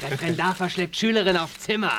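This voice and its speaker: A man's voice: high voice, fast talker, very noisy, close to an everyday voice. A man playing a gloating, mocking teenage blackmailer pupil in a radio play.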